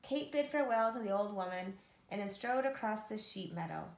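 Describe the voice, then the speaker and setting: A woman reading a children's story aloud with expressive narration. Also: medium pitch, a little fast, creaky. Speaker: storybook reader. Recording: echoey room.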